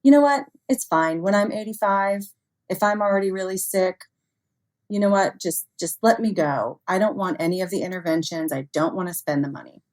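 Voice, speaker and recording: A woman's voice: mid-range voice, fairly quick, darkish tone. A woman speaking as an expert interview guest, explaining things conversationally with everyday examples. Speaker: interview guest, expert on human error in cybersecurity.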